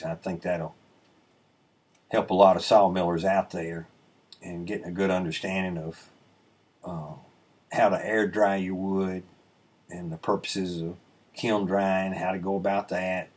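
A middle-aged man with a low, husky voice talks through his sawmill footage in a relaxed, rambling way. He explains each step plainly, filling pauses with ums and uhs. He sounds like he is seated at his desk, speaking into a webcam mic. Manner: laid-back, explanatory, rambling with many "um" and "uh". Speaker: sawmill channel host. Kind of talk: casual voice-over commentary on footage. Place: indoor room, voice-over recorded away from the sawmill. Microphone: webcam inset, seated at a desk.

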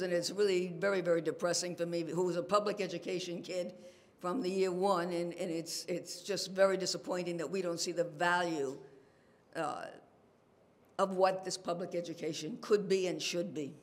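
A man's voice: sits high, steady tone, normal speed. A man speaking up at a public forum, emphatic and dismayed. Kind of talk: public comment.

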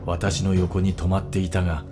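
Man acting a fast, brooding animal warrior, echoing. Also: deep voice, flat delivery, close to an everyday voice. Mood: smug, solemn. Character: brooding anthropomorphic animal warrior, solemn, petty storyteller. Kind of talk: melodramatic Japanese anime-style monologue. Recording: echoey game cutscene with music behind.

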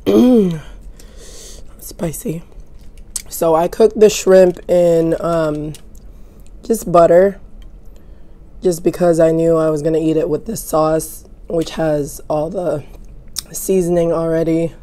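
A young woman with a sick, raspy, hoarse voice, talking slowly and casually between bites with some whispered asides. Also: sits low, fairly even intonation, dark tone. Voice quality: raspy, losing her voice. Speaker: mukbang host. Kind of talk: casual eating show chat. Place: home room, indoors.

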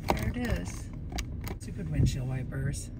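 A woman's voice: low-pitched, animated, a little slow. Woman musing aloud in a noisy car. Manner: musing aloud, playful, easily sidetracked. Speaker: vlogger. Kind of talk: casual talking to herself while driving. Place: inside a moving car. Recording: distant mic under loud road noise.

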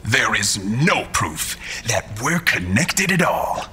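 An actor playing a smug, callous villainous boss, theatrical and condescending, in a science-fiction audio drama. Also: male voice, lowish voice, very animated, slow pace, sharp tone, a little hiss or hum, heavily acted. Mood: smug, mocking.

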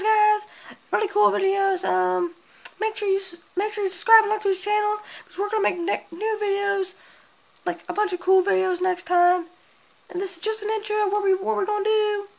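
A performer voicing a small, friendly male hedgehog who rambles and fumbles his words in a squeaky way. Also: flat delivery, brisk, creaky. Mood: cheerful.

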